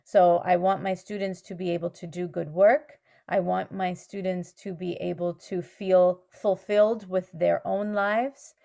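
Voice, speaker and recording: Canadian teacher lady on a video call, lowish voice with some fry, explains things slow and patient. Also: steady tone, dark tone. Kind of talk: answering student questions on a video call.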